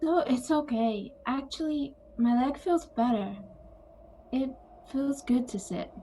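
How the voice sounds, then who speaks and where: An actress playing a gentle, conciliatory grown-up sister with a nostalgic streak in an audio play. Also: female voice, sits high, slow pace, slight background noise. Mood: reassuring.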